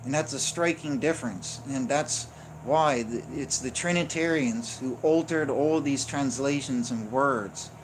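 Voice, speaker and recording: A man's voice: medium pitch, unhurried. Insistent man giving a lesson. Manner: insistent, explanatory, with frequent "right?" and "okay?". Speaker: religious teacher. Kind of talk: unscripted teaching monologue. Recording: noticeable background noise.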